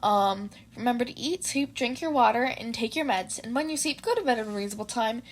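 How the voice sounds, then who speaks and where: A woman's voice: a little high, fairly quick, a little hiss or hum. A woman podfic reader narrating fan fiction and chatting informally about it in a slangy way.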